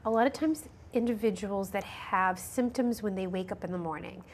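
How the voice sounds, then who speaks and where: A woman's voice: medium pitch, moderate pace, a little hiss or hum. A woman nurse practitioner answering interview questions about allergies on a health show, explaining with frequent ums and restarts.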